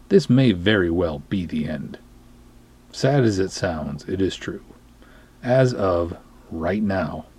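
Husky low-voiced American podcast host, a man. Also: a little mellow. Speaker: podcast host, film writer-director. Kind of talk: promotional podcast monologue to listeners. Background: music.

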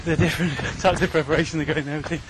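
A man's voice: a little high, fairly even intonation, very fast. A man hosting a podcast, chatting casually while running outdoors and a little out of breath. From Australia. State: panting from running. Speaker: podcast host. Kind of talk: casual running vlog monologue. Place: outdoors, running on hilly streets. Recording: loud outdoor background noise.

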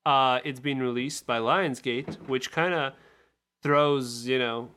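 A man's voice: mid-range voice, fairly even intonation, takes it slowly, bright, forceful tone. A man co-hosting a podcast, giving casual commentary on films and shows. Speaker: podcast co-host.

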